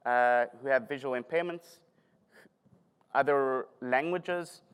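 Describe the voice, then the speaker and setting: A man's voice: medium pitch, takes it slowly, clear, resonant tone, lo-fi sound. A middle-aged man with a South African accent giving a self-deprecating, joking conference talk. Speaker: conference speaker. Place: conference hall. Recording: long hall reverb.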